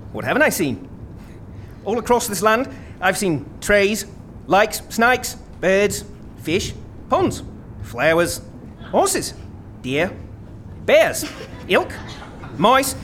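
An actor playing a courteous, rambling hitchhiker from Sydney in a comedy audio drama, with an Australian accent. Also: male voice, high-pitched, fairly bright tone, slight background noise, heavily acted. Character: folksy, gushing Australian male hitchhiker from Sydney.

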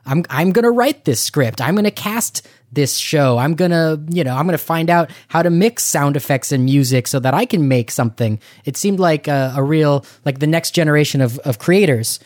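A man's voice: on the high side, lively intonation, normal speed, few pauses, darkish tone, dry recording, studio quality. A middle-aged man co-hosting a podcast in casual talk, often repeating words while he forms a thought. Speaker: podcast co-host. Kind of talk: casual conversational discussion.

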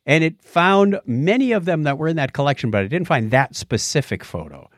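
A man's voice: on the high side, big pitch swings, fairly quick, dry recording, clean studio sound. A middle-aged man co-hosting a podcast, chatting casually and recounting personal anecdotes. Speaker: podcast co-host.

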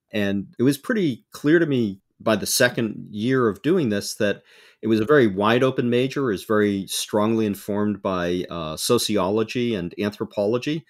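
A man, a professor, telling stories in an interview, relaxed and explanatory with frequent fillers. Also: on the low side. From Denmark and US. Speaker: folklore professor, guest. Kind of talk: interview storytelling.

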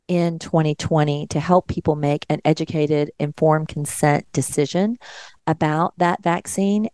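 A middle-aged woman with a deep, gravelly voice talks straight to her audience in an earnest, emphatic monologue. Her tone is dark and mellow, recorded dry and close with no room sound. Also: no room sound. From Georgia, USA. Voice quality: deeper voice since an illness. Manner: earnest, emphatic, speaks to listeners directly. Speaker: nurse and podcast host. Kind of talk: monologue commentary.

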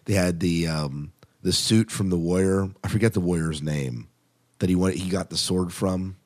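A man's voice: deep voice, normal speed, a little mellow. A man hosting a podcast in casual, conversational chat about shows, often putting questions to his co-host.

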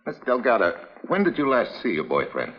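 Tough american detective type, slangy n impatient, lively pitch, muffled old lo-fi recording. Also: male voice, brisk. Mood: businesslike. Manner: clipped, slangy, hard-boiled. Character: brisk, impatient, tough-talking American male investigator. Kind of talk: old-time radio drama acting. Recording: dull lo-fi vintage drama soundtrack.

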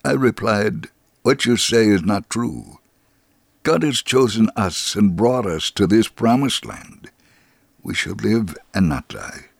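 Deep gravelly man reading a story. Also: lively intonation, slow, no room sound. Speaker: narrator of a testimony story. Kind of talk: scripted dramatic story reading for religious radio.

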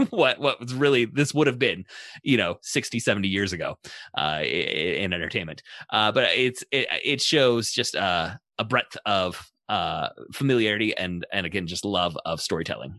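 A man hosting a casual pop culture discussion, thinking aloud in long, halting sentences. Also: lowish voice, pushed and bright, no room sound, studio quality. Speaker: podcast host.

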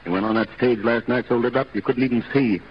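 A man's voice: medium pitch, steady tone, quick pace, dull audio, a little hiss or hum, close to an everyday voice. A man acting in a 1930s radio drama as a blunt, fatherly veteran Broadway manager, firm and straight-talking. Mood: stern.

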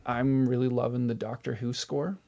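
A man's voice: mid-range voice, flat delivery, moderate pace, gravelly, warm tone, muffled recording. A man chatting casually as a podcast guest, informal and jokey. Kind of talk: casual chat, answering quick-fire questions.

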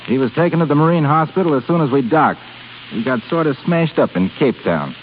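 A man's voice: a little high, animated, fairly quick, lightly acted. The brash young first mate a male actor squaring up to his boss in a thin, crackly old radio play, his voice leaping about. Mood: dutiful, terse. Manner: blunt, defiant, clipped. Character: cocky, hot-tempered young ship's officer from Maine. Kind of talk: 1930s radio acting. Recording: hissy, tinny 1930s radio recording.

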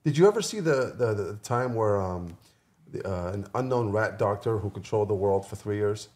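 A man's voice: on the low side, animated, normal speed, darkish tone. An American man co-hosting a podcast, chatting informally and chiming in agreeably. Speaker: podcast co-host. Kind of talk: casual banter.

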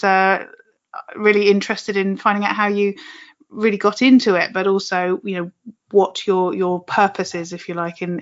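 A woman's voice: mid-range voice, highs cut off. A woman hosting a podcast, bubbly and chatty, who laughs a lot. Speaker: podcast host. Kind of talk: podcast intro and interview.

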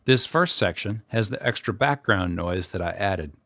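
Low, dry-recorded man, wryly instructive. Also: expressive, normal speed, a bit bright, no echo. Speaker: guest audio editor and podcast narrator. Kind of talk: scripted instructional talk.

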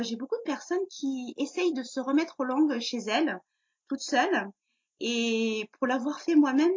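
A woman's voice: sits high, slightly rough, muffled recording. A woman hosting a podcast interview, asking questions casually and hesitantly with many fillers. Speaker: podcast host.

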